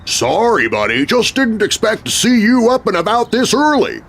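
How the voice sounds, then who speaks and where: A man voicing a goofy, friendly old male neighbor with a booming voice in a children's scary story. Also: sing-song, moderate pace, creaky, a bit bright, slight background noise, full character voice. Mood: surprised, friendly.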